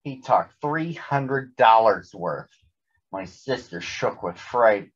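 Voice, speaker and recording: A man's voice: fairly low pitch, lively intonation, takes it slowly, breathy edge. A man in his thirties reading a story aloud for children, recorded at home in a small room. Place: small bedroom at home, indoors. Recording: slightly dull, muffled home recording.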